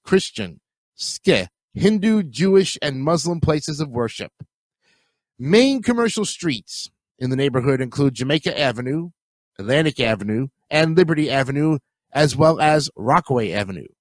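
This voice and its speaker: A man's voice: on the high side, no echo. A young man, hosting a casual solo podcast, sounding nasal from a cold and rambling chattily with self-corrections as he goes. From Queens, New York. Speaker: podcast host.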